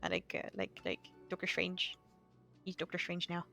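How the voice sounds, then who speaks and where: A woman's voice: fairly low pitch, sing-song, moderate pace, vocal fry. A woman giving casual playthrough commentary as a game streamer. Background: game music.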